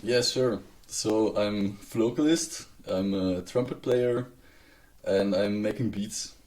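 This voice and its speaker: A young man from Belgium, a guest musician in a casual interview, speaking in a relaxed way with hesitant fillers. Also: on the low side, slow pace.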